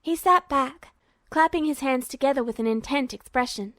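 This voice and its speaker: High-voiced woman narrating a story. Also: moderate pace, a bit bright, no room sound. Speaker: fan fiction reader.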